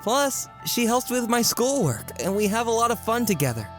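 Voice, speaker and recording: A man playing a guilt-ridden, defensive teenage son in a comic dub, hesitant and evasive, halting as he talks. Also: extremely high pitch, very animated, a bit bright, faint music behind, full character voice. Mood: resigned. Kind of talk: amateur comic dub voice acting.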